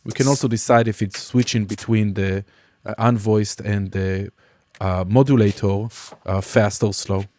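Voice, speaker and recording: Man giving a dry, close-miked software tutorial. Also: lowish voice, fairly even intonation, unhurried, no echo. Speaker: music production tutorial instructor. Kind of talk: casual software demonstration.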